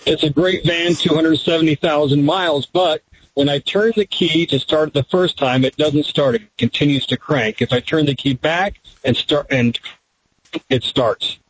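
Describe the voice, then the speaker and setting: A man's voice: fairly high pitch, normal speed, slightly rough. A man calling a radio car show, plainly describing his van's starting trouble. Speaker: caller. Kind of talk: call-in question. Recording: dry, dull call-in line.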